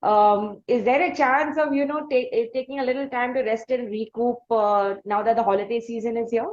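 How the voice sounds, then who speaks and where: High-pitched Indian woman hosting, slightly muffled. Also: fairly even intonation, brisk, a little mellow. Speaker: podcast host interviewing a musician. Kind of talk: conversational podcast interview.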